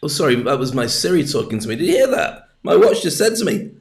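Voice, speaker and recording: A man's voice: fairly high pitch, very animated, fast, gravelly. A British man hosting a livestream, talking in a chatty, jokey, rambling way into a webcam mic at his desk. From Britain. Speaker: livestream host. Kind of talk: rambling casual monologue.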